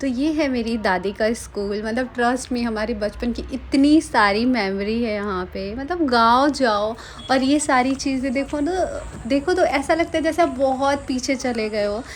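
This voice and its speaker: Chatty young woman vlogger, higher voice. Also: unhurried, hardly any pauses, slight background noise. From Bihar, India. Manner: chatty, enthusiastic, mixes in English phrases. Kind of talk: casual vlog voice-over.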